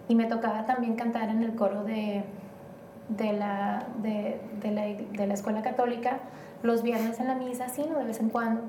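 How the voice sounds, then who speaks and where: Woman, mellow voice in a roomy space. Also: on the high side. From Mexico. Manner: rambling, hesitant, many fillers. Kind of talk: casual interview conversation. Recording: roomy, distant, faint noise.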